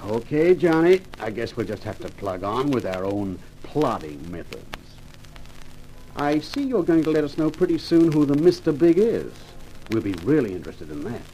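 An actor playing a shrewd, quietly menacing male police chief in a 1950s radio crime drama, dry and sarcastic. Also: mid-range voice, sing-song, moderate pace, close to an everyday voice. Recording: slightly noisy vintage radio recording.

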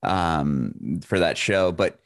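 A man's voice: sits low, animated, slow, creaky, no room sound, studio quality. A man from San Diego hosting a casual interview over a video call, chatty and friendly, laughing along. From San Diego, California. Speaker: interviewer host.

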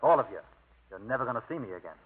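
Husky, sardonic tough guy, old radio. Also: medium pitch, expressive, racing. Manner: clipped, wry tough-guy talk. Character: tough, sardonic, embittered man facing down his brothers. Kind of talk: 1950s radio drama acting. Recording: narrow, lo-fi old radio broadcast.

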